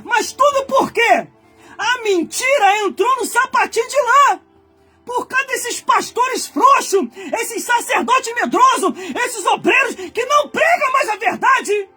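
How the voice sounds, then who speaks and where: Brazilian pastor guy in his 40s, really high voice, yells his sermon then drops to mumbling, soft music behind. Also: lively intonation, a little slow. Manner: swings from low muttering asides to high shouted preaching. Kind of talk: fiery Pentecostal-style sermon.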